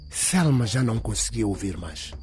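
A man's voice: fairly low pitch, big pitch swings, normal speed, faint music behind. A man reading a scripted radio drama as its dramatic narrator. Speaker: audiobook narrator.

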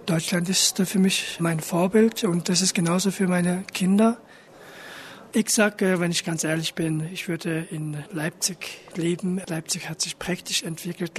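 A man in his fifties from Mongolia, speaking German with a Mongolian accent in a personal portrait, thoughtful with frequent hesitations. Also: high-pitched. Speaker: interviewee.

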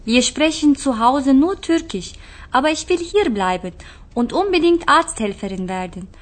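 A woman's voice: high voice, lively intonation, moderate pace. A woman playing a cheerful young schoolgirl from a Turkish family in Berlin, speaking emphatically in a scripted language-course radio drama. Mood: lively.

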